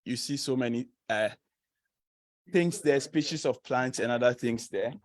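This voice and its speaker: A young Ghanaian man gives a casual student presentation in a close, dry-sounding space. His voice sits at an ordinary middle pitch. He chats easily, laughs often and fills pauses with "uh". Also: no echo. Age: young adult. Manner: chatty, laughs often, many fillers. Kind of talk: informal presentation to an audience.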